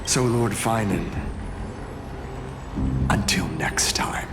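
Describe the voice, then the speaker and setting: A man voicing a smug henchman in a fantasy game, taunting and mock-polite with drawn-out delivery. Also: fairly low pitch, over loud music. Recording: noisy ambience behind.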